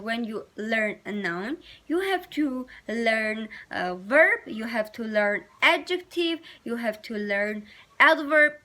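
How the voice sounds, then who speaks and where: Woman, native Armenian speaker, high bright voice, earnest study-tips vlogger, non-native English, hesitant phrasing. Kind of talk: talking to camera, advice vlog.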